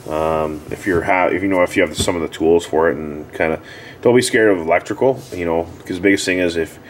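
Deep-voiced man, halting delivery. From Canada. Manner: halting, plain-spoken, repeats himself. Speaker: hot tub repair technician giving a tutorial. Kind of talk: casual unscripted how-to explanation. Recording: clearly audible background noise.

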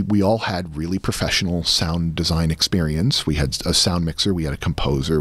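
A man's voice: low-pitched, slightly rough, no echo. A man in his thirties, a guest chatting casually about his work into a close studio microphone. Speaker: guest, audio drama creator. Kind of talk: casual interview storytelling. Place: small indoor studio. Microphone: close studio microphone on boom arm, headphones.

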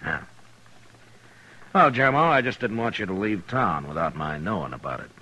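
Deep-voiced, forceful western marshal. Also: male voice, lively intonation, moderate pace, a little hiss or hum, low-quality recording. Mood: dry, probing. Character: steady, dry-spoken frontier marshal of the Old West. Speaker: lead actor and narrator. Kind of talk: 1950s radio western acting and narration.

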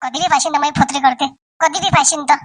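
A woman's voice: high-pitched, fairly even intonation, fairly quick, no room sound, close to an everyday voice. A woman voicing scolding, nosy village women in a comedy cartoon, with a rural Vidarbha Marathi accent and theatrical exclamations. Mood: indignant. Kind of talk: comic cartoon voice acting in village dialect.

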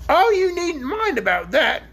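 A woman's voice: sits high, sing-song, fairly quick, voice pushed up. A woman voicing a haughty, irritable queen in a storybook reading. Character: haughty self-important queen.

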